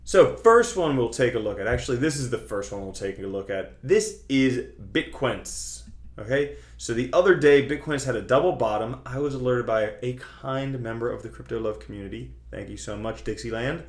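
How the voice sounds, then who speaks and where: A man's voice: mid-range voice, lively intonation, normal speed. A man hosting an online video, explaining things to viewers in a chatty, colloquial, emphatic way. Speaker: host of a cryptocurrency trading video channel. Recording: roomy, echoing sound.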